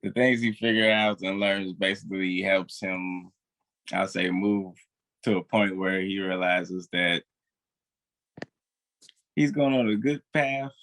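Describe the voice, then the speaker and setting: A young man with a bright, forward voice that sits a little low. He chats casually as an actor being interviewed. The sound is close and dry, with hardly any room in it. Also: steady tone, slow pace, creaky, long pauses, dry recording, poor audio. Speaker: stage actor, interview guest. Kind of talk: casual chat.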